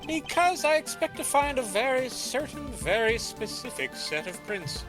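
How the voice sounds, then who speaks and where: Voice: high-pitched, big pitch swings, moderate pace, music in the background. A fan dubber voicing a composed, formal male prosecutor in a game dub, speaking stiffly and a little exasperated.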